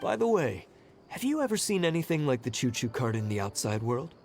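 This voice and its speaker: A man's voice: mid-range voice, sing-song, a little fast, darkish tone. A man voicing a friendly, encouraging adventurer in a video game, kindly and affable. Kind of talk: video game cutscene acting.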